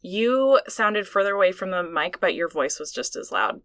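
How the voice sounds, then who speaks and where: Young woman cohost, chatty n laughy, bright voice, dry booth sound. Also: lowish voice, very animated, normal speed, dry recording. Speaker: podcast co-host. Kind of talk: casual chat. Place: small recording booth.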